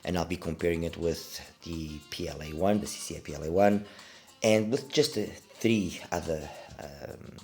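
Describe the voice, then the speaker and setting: A man's voice: low-pitched, moderate pace, a little mellow, soft background music. A middle-aged man, congested with a cold, giving a casual, hesitant product review and repeating words for emphasis. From Portugal. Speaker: audio gear reviewer.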